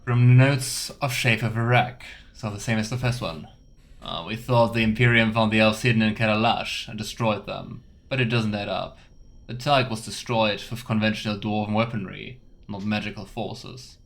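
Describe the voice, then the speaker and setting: Low-voiced man streaming a game. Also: steady tone, moderate pace. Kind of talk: casual let's play commentary, reading game text aloud.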